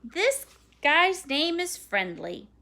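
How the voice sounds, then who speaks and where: Storybook part: earnest, boyish kid acted by a woman, emphatic and eager, high pitch with lively swings. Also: slow pace, creaky, exaggerated voice. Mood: proud. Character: eager, earnest young boy, scornful of fraidy cats.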